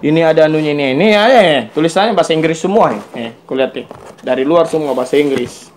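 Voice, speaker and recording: A man's voice: on the high side, quick pace, darkish tone, slight background noise. A man with a Kendari, Southeast Sulawesi Indonesian accent, hosting a casual interview in a chatty, teasing way and repeating phrases for emphasis. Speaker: YouTube interviewer and host. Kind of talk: casual, joking interview chat.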